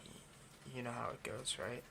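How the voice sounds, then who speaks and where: A man's voice: mid-range voice, measured pace, creaky, sharp tone, a little hiss or hum, exaggerated voice. A man playing a nervous, obsessive vampire lab technician in an intimate ASMR roleplay, with hesitant, stammering, overly polite delivery. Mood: secretive, earnest.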